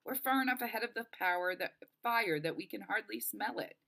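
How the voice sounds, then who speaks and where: A woman's voice: mid-range voice, fairly quick, vocal fry, fairly bright tone, pitched above the performer's own voice. A woman reading a storybook as a cautious, practical teenage boy, earnest and reassuring.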